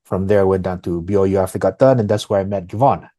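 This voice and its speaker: Fijian guy w/ accent, low mellow voice, laid out stories casually as a guest, super dry close sound. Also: quick pace, no room sound. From Fiji. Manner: informal, often says "man" and "you know". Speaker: interview guest. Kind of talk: casual conversational storytelling.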